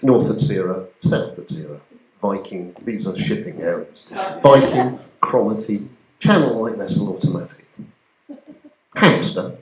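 A man's voice: lowish voice, lively intonation, unhurried. A man performing a stand-up comedy routine to a live audience, with a wry manner and a mock forecast-reading delivery. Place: community centre hall, live audience. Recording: echoey hall with background noise.

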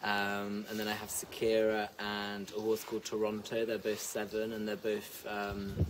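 A young man with a low, fairly monotone voice, chatting easily in an outdoor interview. He fills pauses with ums and agrees with quick yeahs. Steady noise sits clearly behind him. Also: distant mic, low-quality recording. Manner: easygoing, many ums, short agreeing yeahs. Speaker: dressage rider. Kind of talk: casual interview answers. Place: outdoors in front of a hedge.

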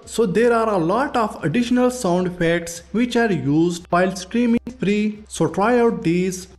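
A man's voice: high voice, unhurried, faint music behind. A man hosting a tech review, reading scripted tutorial narration.

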